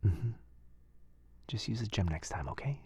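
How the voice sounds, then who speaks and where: Guy doing a soft kind wizard boyfriend for asmr, really husky murmur, low voice, super close dry mic. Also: lively intonation, moderate pace, no echo. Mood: tender, caring. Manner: gentle, hesitant, murmuring, intimate. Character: kind, caring young male wizard, gently fussing. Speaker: audio roleplay voice performer. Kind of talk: boyfriend ASMR roleplay acting. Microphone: close, dry microphone.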